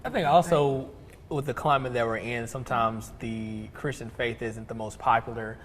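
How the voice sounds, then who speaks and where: A man's voice: medium pitch, fairly even intonation, moderate pace, a bit bright. A man stage actor explaining things casually as an interview guest. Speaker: interview guest, stage play cast member. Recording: echoey hall with faint noise.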